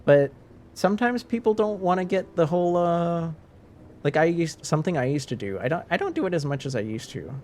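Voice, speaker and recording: A man's voice: a little high, expressive, brisk, a little mellow, a little hiss or hum. A man from French Canada with a light French Canadian accent, talking casually to his stream viewers, rambling with many restarts and fillers.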